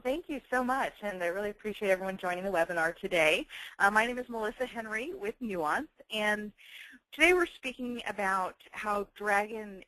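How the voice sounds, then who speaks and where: A woman's voice: mid-range voice, bright, forceful tone, no echo, poor audio. A woman giving a company webinar presentation, explaining things in a conversational way.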